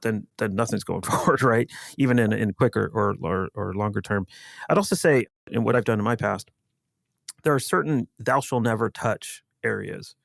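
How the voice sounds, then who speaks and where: A man speaking as an expert guest in an unscripted panel discussion, in a measured explanatory way. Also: on the low side, gravelly, no room sound, clean studio sound. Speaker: guest security executive.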